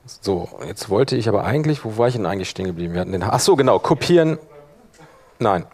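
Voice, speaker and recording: A man's voice: lowish voice, animated, fast talker, darkish tone. A man presenting a workshop in an informal tutorial style, thinking aloud as he demonstrates step by step.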